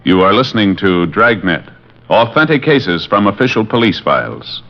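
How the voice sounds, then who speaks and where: Lively male old-time radio announcer. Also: fairly low pitch, normal speed, loud music behind the voice. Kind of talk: scripted 1950s program announcement and sales pitch. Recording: muffled vintage radio broadcast.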